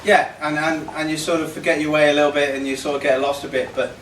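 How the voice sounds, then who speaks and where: A man in his fifties giving an impromptu, sincere thank-you speech in a halting way. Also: fairly high pitch, level tone. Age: 50s. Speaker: birthday honoree giving a speech. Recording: echoey, noisy room, distant microphone.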